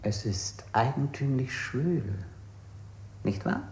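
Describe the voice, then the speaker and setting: A condescending interrogator in an old radio play. He is stern and formally polite, with menace in his drawn-out, mid-pitched words. The recording is echoey and noisy. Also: expressive. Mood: insinuating. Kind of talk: 1960s radio play acting.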